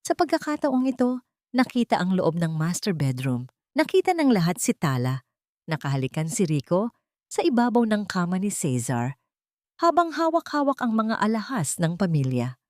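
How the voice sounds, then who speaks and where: A woman's voice: mid-range voice, big pitch swings, moderate pace, a little mellow, dry recording, clean studio sound. A woman storyteller reading dramatic scripted fiction narration.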